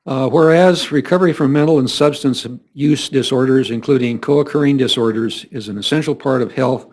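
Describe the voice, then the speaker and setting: Мужчина, член городского совета, размеренно зачитывает официальное воззвание голосом средней высоты. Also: male voice, mid-range voice. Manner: steady, measured reading with small slips. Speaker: city councilmember. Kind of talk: formal reading of a proclamation.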